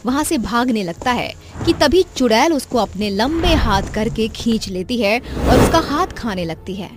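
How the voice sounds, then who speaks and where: A woman's voice: on the high side, lively intonation, normal speed, background noise. A woman reading a scripted story as its narrator, with music behind her. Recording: loud music under the voice. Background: background music.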